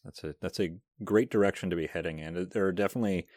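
Deep-voiced podcast host, dry sound. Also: male voice, fast talker, a little mellow, no echo. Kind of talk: casual interview.

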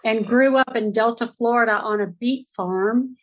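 A middle-aged woman from Southern California talks through her family background as an interview guest. Her voice sits a little high, and she chats loosely, laughing and stumbling over restarts and fillers. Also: fairly even intonation, moderate pace, fairly bright tone, poor audio. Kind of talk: casual interview storytelling.

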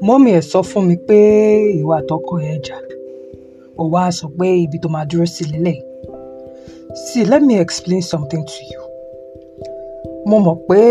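A man plays an elderly Yoruba grandfather from a Nigerian audio drama. He is stern and admonishing, laying out his points deliberately in a Yoruba accent. Music plays clearly under his words. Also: high voice, close to an everyday voice. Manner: admonishing, deliberate, switches between Yoruba and English.

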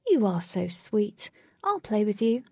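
A woman's voice: fairly high pitch, sing-song, moderate pace, mellow, breathy edge, dry recording, clean studio sound. A woman voicing a kind, doting young female adventurer in a storybook, tender and coaxing. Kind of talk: storybook character voice.

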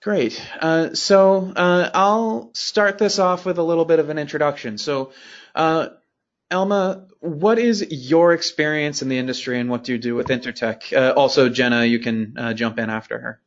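A man's voice: fairly high pitch, moderate pace, muffled recording. A man hosting a company podcast, introducing and questioning guests in a conversational, partly scripted way. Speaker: podcast host.

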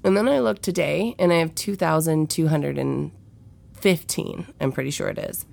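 Young woman, podcast host, head cold, nasal, low gravelly voice, blunt and profane. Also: normal speed, a little mellow. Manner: frank and profane, with many fillers. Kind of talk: candid solo podcast chat.

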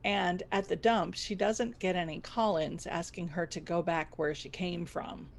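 A woman playing a proud, stubborn boss of a failing radio station in a scripted comedy, with brisk, dryly theatrical delivery. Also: lowish voice, fairly even intonation, vocal fry.

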